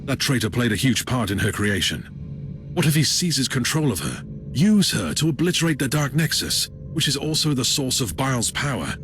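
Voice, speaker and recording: An actor playing an urgent, insistent Inquisitor, emphatic and formal, in a theatrical game cutscene. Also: male voice, medium pitch, big pitch swings, normal speed, a bit bright, soft background music. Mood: urgent, objecting.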